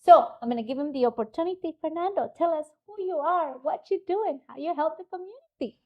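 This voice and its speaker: A woman's voice: sits high, quick pace, lo-fi sound. A woman hosting an interview podcast, upbeat and chatty, who drops in Spanish words. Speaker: podcast host. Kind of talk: casual interview chat.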